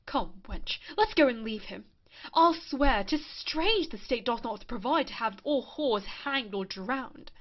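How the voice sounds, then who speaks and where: A woman playing a scandalised, scornful gentlewoman in a period stage comedy, exclaiming with indignation. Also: on the high side, animated, a little slow, muffled recording.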